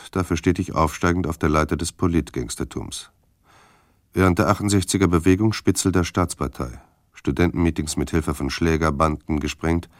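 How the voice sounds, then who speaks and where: A man's voice: extremely low pitch, no echo, studio quality. A man narrating a German radio crime drama in a sober, terse, matter-of-fact way. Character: sober noir male narrator of detective story.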